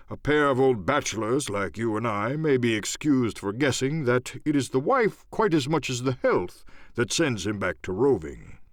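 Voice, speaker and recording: A man voicing a hearty, excitable English squire in an audiobook, blustering and emphatic as he reads out a letter. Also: mid-range voice, no room sound, studio quality, close to an everyday voice. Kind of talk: dramatized audiobook character acting.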